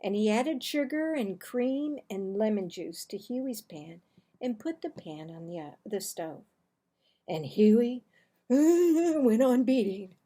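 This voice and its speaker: Older woman reading expressively, slowly. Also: fairly high pitch, animated, mellow. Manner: dramatic, deliberate. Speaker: storyteller reading aloud. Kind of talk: expressive children's story reading. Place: small home office, indoors.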